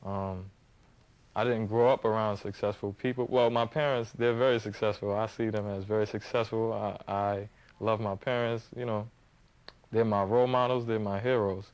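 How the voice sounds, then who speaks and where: A man in his twenties from Harlem, answering interview questions hesitantly, with many pauses and fillers. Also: fairly low pitch, flat delivery, smooth, poor audio. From Harlem, New York. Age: 20s. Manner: hesitant, many ums and you-knows, soft-spoken. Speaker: guest, young entrepreneur.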